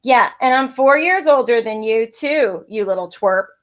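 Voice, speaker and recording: A woman's voice: on the high side, expressive, a little slow. A woman voicing a rotten, boastful older brother, a boy who jeers and sneers, in a storybook read-aloud. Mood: sneering.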